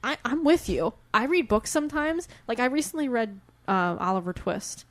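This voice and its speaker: A woman's voice: a little high, animated, moderate pace. A young woman co-hosting a podcast in casual, giggly banter, talking in a chatty and emphatic way. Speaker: podcast co-host. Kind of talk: casual book-chat banter.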